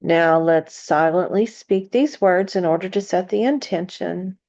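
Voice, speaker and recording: A woman leading a guided meditation in a soothing narration style, with gentle, deliberate phrasing and long pauses. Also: fairly low pitch, gravelly, lo-fi sound.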